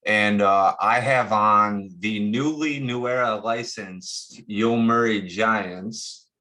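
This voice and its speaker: A man with a Buffalo accent talks at a slow pace in a fairly low, bright and forceful voice. He has the casual delivery of a co-host doing show-and-tell. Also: rough recording. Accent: Buffalo, New York. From northeastern US. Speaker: co-host of a cap and card show.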